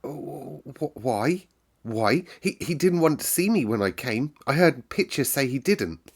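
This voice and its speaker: A man's voice: mid-range voice, big pitch swings, measured pace, voice pushed up. A man reading aloud and voicing an anxious, hesitant little girl who stammers.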